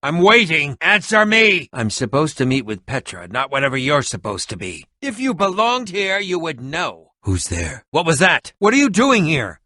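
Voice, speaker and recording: A man's voice: on the high side, wildly swooping pitch, moderate pace, hardly any pauses, pushed and bright, no room sound, studio quality, exaggerated voice. A male actor voicing a haughty, scheming, villainous potion-maker in a video game, pompous, sneering and melodramatic, with over-enunciated delivery. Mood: suspicious, sneering. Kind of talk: video game character acting.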